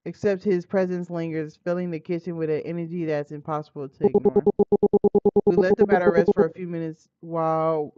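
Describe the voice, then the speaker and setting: A game streamer reading a visual novel aloud in an informal, expressive way, breaking into slangy asides. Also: medium pitch, brisk, smooth, no room sound. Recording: muffled audio with music behind.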